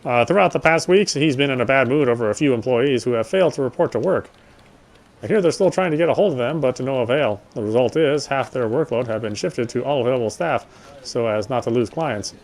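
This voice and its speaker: Mid-pitched man reading game text aloud. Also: brisk. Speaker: gamer reading a visual novel aloud. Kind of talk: let's play read-aloud with casual asides.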